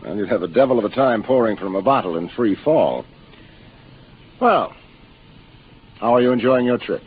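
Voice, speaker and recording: A seasoned American spaceship captain from a 1950s radio drama, speaking in a low voice with wide inflection. He explains things with matter-of-fact authority. The old broadcast is muffled. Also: animated, moderate pace, slightly rough. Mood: genial. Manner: commanding, explanatory.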